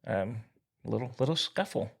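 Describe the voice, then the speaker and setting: A man's voice: mid-range voice, animated, normal speed, vocal fry, breathy edge. A man co-hosting a casual podcast, chatting in an enthusiastic, jokey manner. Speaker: podcast co-host. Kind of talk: casual sports and pop culture chat.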